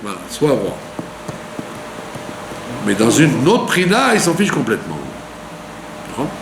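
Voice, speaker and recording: Animated rabbi teaching in an echoey room. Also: male voice, mid-range voice, a little slow. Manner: animated teaching, with rhetorical questions and frequent "d'accord?". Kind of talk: Torah lesson sermon. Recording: echoey room with faint hum.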